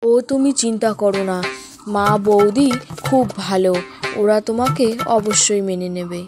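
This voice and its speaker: A woman's voice: mid-range voice, fairly even intonation, measured pace, noisy background, natural voice. A woman playing a devoted young man in a cartoon story, earnest and reassuring.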